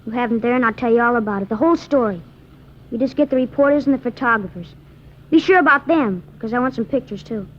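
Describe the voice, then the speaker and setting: A woman's voice: fairly high pitch, fast, natural voice. A woman playing a defiant, cocky small teenage boy with sullen, snappy American backtalk in a 1950s radio drama. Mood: insistent. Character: defiant, cocky small fourteen-year-old boy thief. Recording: muffled vintage radio recording with noise.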